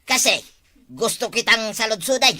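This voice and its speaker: Bright-voiced, fretful village gossip played by a woman. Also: a little high, expressive, normal speed, dry recording. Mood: nagging. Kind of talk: Ilocano village comedy drama acting.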